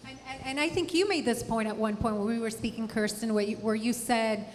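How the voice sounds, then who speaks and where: A woman's voice: on the high side, a little fast. A woman moderating a live panel discussion and steering it between speakers. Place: event hall panel. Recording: reverberant hall with light background noise.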